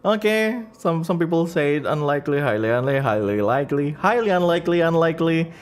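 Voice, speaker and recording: A man running a tabletop campaign as game master and streamer, improvising the story in a halting way and repeating words and phrases. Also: on the high side, animated.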